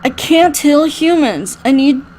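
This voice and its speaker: A woman's voice: high-pitched, animated, measured pace, darkish tone, a little hiss or hum. A woman voicing a concerned male medic in a visual novel, speaking urgently and earnestly. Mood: grave, anxious.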